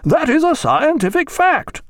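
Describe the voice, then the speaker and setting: A pompous naval surgeon for a historical audiobook. The voice is pushed far up in pitch, loud and drawn out. Each word is laid down with dogmatic authority. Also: male voice, very animated, unhurried, dry recording, clean studio sound, full character voice.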